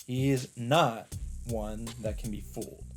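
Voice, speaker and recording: A man's voice: mid-range voice, fairly even intonation, measured pace. A man hosting a let's play, reading visual novel narration dramatically and adding his own casual asides. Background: background music.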